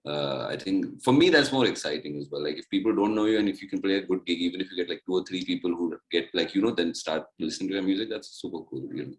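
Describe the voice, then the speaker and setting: A young man from India with a very deep voice speaks casually in an interview. He rambles loosely, filling his talk with "like" and "you know". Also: quick pace, hardly any pauses, low-quality recording. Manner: relaxed, rambling, heavy use of "like, you know". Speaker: musician guest.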